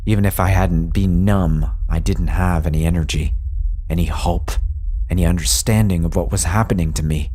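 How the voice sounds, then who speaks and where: A man reading a scripted first-person horror story in a measured, grave way. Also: low-pitched, a little mellow. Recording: music bed under the voice.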